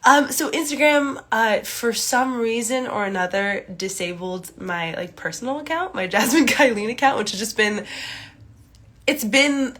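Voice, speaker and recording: A woman's voice: on the high side, unhurried. A young woman with a stuffy, congested nose, chatting casually to viewers on a phone livestream with lots of filler words, recorded in a small room. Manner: chatty, many "like" and "um" fillers, greets viewers brightly. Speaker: astrology livestream host. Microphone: phone camera at arm's length.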